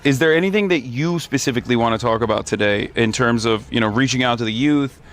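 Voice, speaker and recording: A man's voice: mid-range voice, fast talker, soft background music. A man streamer interviewing a guest in a friendly, loose way, with plenty of "uh" and "um". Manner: friendly, loose, hesitant fillers. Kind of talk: casual interview.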